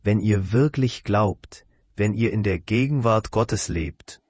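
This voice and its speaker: A man with a low, husky voice preaching a sermon. He asks his listeners searching questions in an earnest, measured way. The recording is close and dry. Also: darkish tone, no echo.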